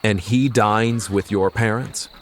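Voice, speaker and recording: A man's voice: lowish voice, steady tone, takes it slowly, slight background noise. An actor playing a guarded, curious young man with a dry wit in an audio story. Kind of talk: acted dialogue in an audio story.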